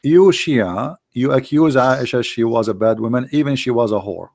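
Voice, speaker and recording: Man, hoarse, gravelly, native Arabic speaker, argumentative, repeats words, call-in debate. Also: mid-range voice, moderate pace, a little mellow, no room sound. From Middle East. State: hoarse, losing his voice. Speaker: debate host. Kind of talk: live online debate.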